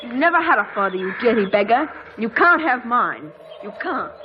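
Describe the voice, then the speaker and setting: A woman's voice: fairly high pitch, big pitch swings, normal speed, noisy background. A woman playing a spiteful, jealous, bullying young boy in an old radio drama. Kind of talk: 1940s radio drama acting. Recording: muffled radio broadcast over music.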